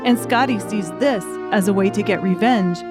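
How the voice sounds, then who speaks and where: A woman narrates a dramatic audiobook from a script. Her pitch is in the middle range for a woman. Soft music plays behind her. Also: moderate pace.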